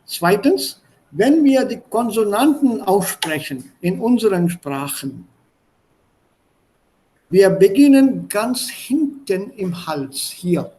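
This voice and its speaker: Male language tutor, Indian accent, high-pitched voice, explains didactically and demonstrates by repetition. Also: big pitch swings, takes it slowly, warm tone, slight background noise, poor audio. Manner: didactic, repeats and demonstrates sounds. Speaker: language teacher. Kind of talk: online language lesson.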